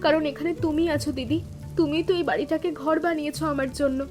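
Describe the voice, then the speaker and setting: A woman playing a timid, grateful younger sister-in-law in an animated family drama, speaking in an earnest, emotional, deferential way. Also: high-pitched, quick pace, lightly acted. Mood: tender. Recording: music bed with rain sounds.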